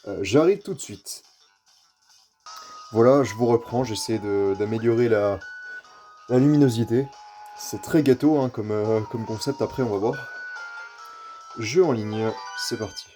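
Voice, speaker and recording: A man's voice: on the low side, a little slow, long pauses, mellow, soft background music. A man with a head cold gives rambling, casual commentary while playing a racing game, with many fillers and the odd curse. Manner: rambling and filler-heavy, mild swearing. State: stuffy-nosed with a cold. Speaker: gaming youtuber.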